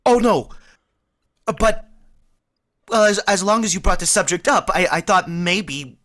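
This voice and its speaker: A woman's voice: medium pitch, expressive, measured pace, a bit bright. Nervous stammering young clerk for a radio comedy, voiced by a woman, bouncy delivery. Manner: stammering, hesitant. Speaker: radio drama actor. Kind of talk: vintage radio comedy acting.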